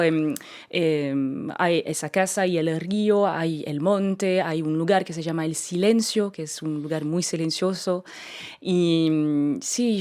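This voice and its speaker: A woman with a French accent tells her story in a radio interview, speaking Spanish as a second language. Her voice sits a little low, and she stops and restarts often while she hunts for the right word. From France. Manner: warm, searching for words with frequent restarts. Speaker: singer, interview guest.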